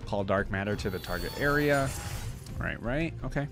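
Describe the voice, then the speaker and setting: A man's voice: fairly low pitch, expressive, normal speed, music in the background. A young man giving casual reaction commentary, thinking aloud informally and at times reading text out, close on a desk microphone. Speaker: reaction video host. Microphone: close desk microphone on arm.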